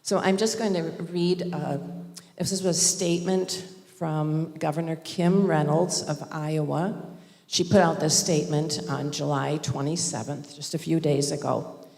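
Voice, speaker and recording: A woman giving a public comment at a school board meeting, halting with fillers and restarts, speaking into a podium microphone. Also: low-pitched, fairly even intonation, creaky, a little mellow. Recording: long reverb in a large hall.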